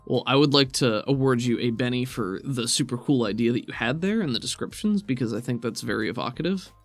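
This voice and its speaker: A man's voice: medium pitch, expressive, a little fast, no room sound, soft background music. A man running a tabletop game as game master, talking casually and encouragingly to players.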